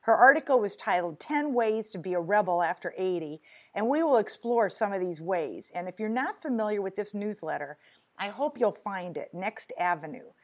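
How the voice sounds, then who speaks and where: A woman's voice: medium pitch, animated, moderate pace. A woman in her sixties hosting a podcast interview, enthusiastic as she introduces her guest. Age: 60s. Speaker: podcast host.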